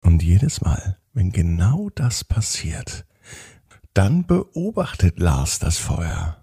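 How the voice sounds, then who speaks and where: Male narrator for kids' bedtime tales: dark, mellow tone, slow and gentle delivery with dramatic pauses. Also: low voice, big pitch swings, husky, no echo, clean studio sound. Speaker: children's bedtime podcast storyteller. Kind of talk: bedtime story narration for children.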